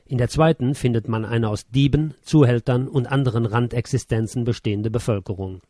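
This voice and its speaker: A man reading a first-person memoir as scripted narration, in a measured, sober way. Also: medium pitch, mellow, dry recording, clean studio sound.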